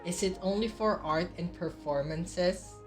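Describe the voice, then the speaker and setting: A woman's voice: on the low side, a little slow. A woman whose native language is Filipino, streaming a game casually and reading dialogue choices aloud. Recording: game music behind. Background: game music.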